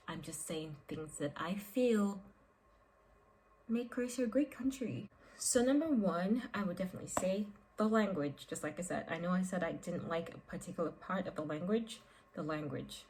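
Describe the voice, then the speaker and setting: A young woman from Nigeria chats straight to camera in a relaxed vlog. Her voice sits a little low, and she talks expressively, filling her sentences with "like" and "um". A faint noise stays under the recording. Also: rough recording. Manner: chatty and expressive, with frequent "like" and "um" fillers. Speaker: vlogger. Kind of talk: casual vlog talk to camera.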